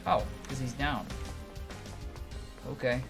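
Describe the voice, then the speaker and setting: A man giving casual, sweary live commentary on a video game, thinking out loud and reading game text aloud. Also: mid-range voice, steady tone, slow pace. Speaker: game streamer. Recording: game music behind. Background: video game music.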